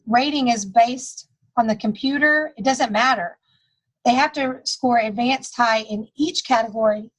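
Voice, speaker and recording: A woman's voice: on the high side, low-quality recording. A woman giving a recorded staff training talk, casual, with dry humor and many fillers. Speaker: school testing coordinator.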